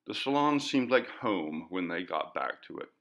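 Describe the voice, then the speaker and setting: A man reading a literary ghost story as an audiobook, in a measured, dramatic manner. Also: low voice, sing-song, fairly bright tone. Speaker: audiobook reader.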